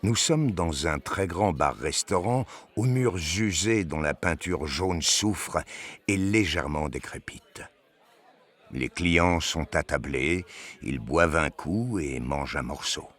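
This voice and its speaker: A man narrating a science fiction audio drama from a script in a measured, descriptive manner. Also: deep voice, animated, fairly bright tone.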